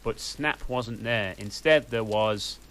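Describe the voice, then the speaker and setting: A man's voice: lowish voice, slow pace, a bit bright. A man reading a story aloud for laughs at home, with dry, mocking asides between lines. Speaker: reader. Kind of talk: comic reading of a fanfic.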